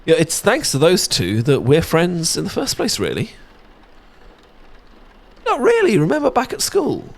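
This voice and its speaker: A British man playing an affectionate, playful young best friend in an intimate, casual ASMR audio roleplay, chatting and teasing with soft tag questions. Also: on the high side, wildly swooping pitch, a little slow, vocal fry, pauses often, heavily acted. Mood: nostalgic.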